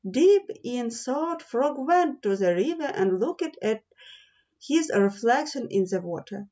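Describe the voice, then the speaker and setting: Woman narrator for a children's audio fairy tale, lilting and unhurried, somewhat high voice, muffled lo-fi recording. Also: expressive, warm tone. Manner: slow, lilting read-aloud delivery. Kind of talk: slow storybook narration for children.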